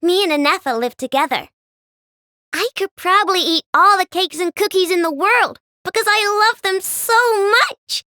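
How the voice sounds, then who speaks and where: A woman's voice: extremely high pitch, normal speed, sharp tone, dry recording, clean studio sound. A bubbly sugar-mad girl inventor. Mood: playful. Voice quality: bubbly, childlike and bouncy. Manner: playful, wheedling, with gasps and giggles. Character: cheerful young girl inventor, sugar-loving and playful. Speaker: game character voice. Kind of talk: game voice lines.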